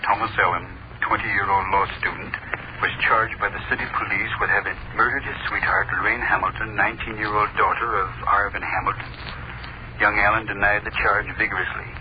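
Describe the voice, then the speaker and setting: An actor playing a newsreader who reads a court report in a brisk, matter-of-fact announcer style, in a 1930s radio drama. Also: male voice, lowish voice, fairly even intonation, natural voice. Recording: dull hissy 1930s radio broadcast.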